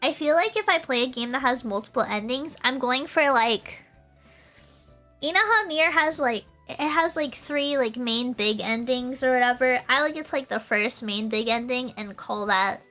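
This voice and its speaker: A woman with a very high, bright voice chats casually to her livestream audience. She is bubbly and giggly, tending to repeat little phrases as she goes. Soft music plays faintly behind her. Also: moderate pace. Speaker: VTuber livestreamer. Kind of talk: casual chatty livestream, talking to chat.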